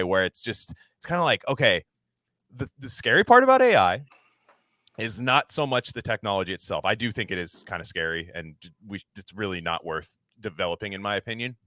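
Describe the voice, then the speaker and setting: A man co-hosting a podcast, chatting casually about films in an emphatic, halting, sometimes profane way full of filler words. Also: on the low side, bright, forceful tone, no room sound. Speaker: podcast co-host. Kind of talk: casual film discussion.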